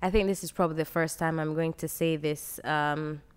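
A young woman with a smooth, clear voice and a Jamaican accent. She talks as an interview guest, telling her stories in a casual, expressive way into a close podcast mic. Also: sits low, normal speed. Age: young adult. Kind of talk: casual conversational storytelling. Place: bright indoor open-plan room on a sofa. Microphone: close podcast mic on boom arm.

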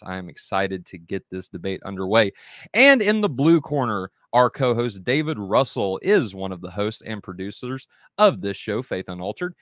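Guy hosting a debate over a video call, kinda low voice, upbeat and folksy, dry room. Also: fairly bright tone, no room sound. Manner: upbeat, folksy, says y'all. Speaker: debate host and moderator. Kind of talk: casual show hosting. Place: home room with bookshelves. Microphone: headset and desk mic on video call.

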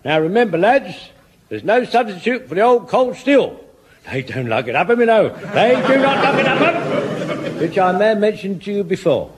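Excitable, high-voiced old English corporal. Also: male voice, normal speed, a little hiss or hum. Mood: fussy, earnest. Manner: fussy, repetitive, barking orders. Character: excitable, doddery old lance corporal drilling his squad. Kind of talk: British radio sitcom acting.

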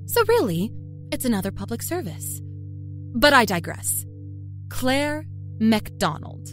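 A woman playing a self-satisfied small-town liar from Kentucky, telling her dark story in a folksy, confiding way. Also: fairly high pitch, big pitch swings, unhurried. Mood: smug, chatty. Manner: folksy, chatty, smugly confiding. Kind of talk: first-person dark storytelling. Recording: music under the narration.

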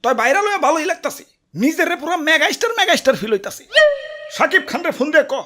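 A vain lizard boss from a comic cartoon, speaking with a Bangladeshi accent. The voice is very high and swings wildly, full of theatrical exclamations. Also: female voice, expressive, racing, a bit bright. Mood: excited, vain. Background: background music.

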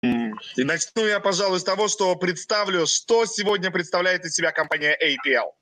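A young man from Russia leads a company webinar, pausing after each short phrase for his interpreter. His voice sits high for a man and sounds bright and forward. The sound is close and dry, with no room around it. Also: expressive, no echo. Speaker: company president. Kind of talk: webinar presentation.

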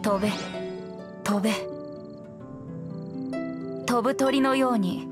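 A woman voicing a youthful, boyish wind spirit bard in an anime game, gentle and wistful, with lyrical storytelling phrasing. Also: on the high side, takes it slowly, music in the background. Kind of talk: anime video game voice acting, poetic narration.

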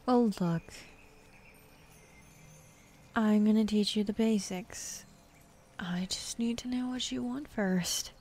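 A woman's voice: medium pitch, a little slow, a little mellow. A woman voicing a bossy, teasing demon in an intimate ASMR roleplay, sardonic and drawling, easily bored, slipping into whispers. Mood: instructive, teasing. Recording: faint ambient forest background noise.

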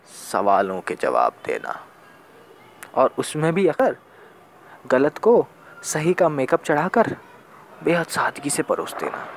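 Slow, deliberate young man reciting poetry. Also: fairly high pitch, a little hiss or hum. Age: young adult. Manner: deliberate, dramatic pauses and repeated phrases. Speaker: student poet. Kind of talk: spoken-word poetry recitation.

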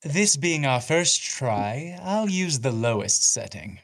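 A man playing a calm, scholarly, sadistic torturer count in a measured, clinical manner at a script read. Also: medium pitch, heavily acted.

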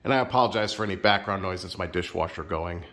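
Congested man with a lowish voice. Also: fast talker. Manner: relaxed, rambling, friendly enthusiasm. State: congested from a sinus infection, a bit nasal. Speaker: ceiling fan collector vlogger. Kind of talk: casual show-and-tell vlog. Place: sunroom at home, indoors.

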